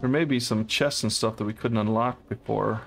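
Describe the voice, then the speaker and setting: A man hosting a co-op game playthrough, chatting casually and thinking out loud as he plays. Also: on the low side, steady tone, moderate pace, gravelly, soft background music. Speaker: let's play host. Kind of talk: casual co-op gameplay chat.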